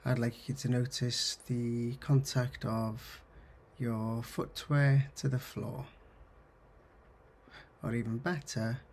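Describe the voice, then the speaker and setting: A man leading a guided meditation in a husky, gravelly voice, giving gentle, soothing instructions in short phrases with pauses. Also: medium pitch, flat delivery, a little hiss or hum. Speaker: counsellor guiding mindfulness. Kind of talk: guided meditation, body scan.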